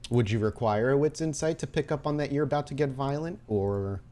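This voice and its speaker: A man running a tabletop roleplaying game as game master, telling the story in a dramatic, deliberate way with pauses. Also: medium pitch, dark tone.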